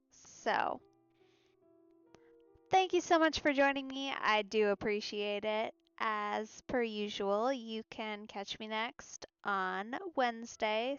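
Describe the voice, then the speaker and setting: A woman does casual let's play commentary as a streamer. Her voice is fairly high, smooth and slow, with a relaxed, wry manner and faint music behind it. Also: no room sound, highs cut off. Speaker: live streamer.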